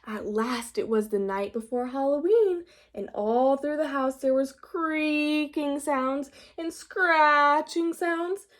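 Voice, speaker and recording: A woman with a fairly high voice reads a picture book aloud to young children. She goes very slowly, in a teacherly manner, pausing to prompt them with simple questions. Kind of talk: storybook reading with questions to children.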